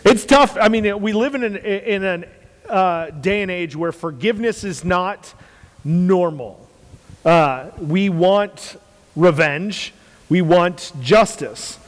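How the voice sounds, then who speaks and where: A man with a big, carrying voice sits a touch higher than most men. He speaks as a pastor in casual, earnest faith talk, leaning on repetition. The sound rings out with long room reverb. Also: slow pace. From United States. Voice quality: loud, carrying voice. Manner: earnest, repeats phrases for emphasis.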